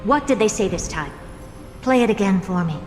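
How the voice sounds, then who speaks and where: A woman's voice: mid-range voice, animated, normal speed, darkish tone, lightly acted. An actress playing a haughty, sardonic genius woman scientist in a video game, condescending, theatrical and commanding. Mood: curious, puzzled. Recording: long hall reverb with music behind.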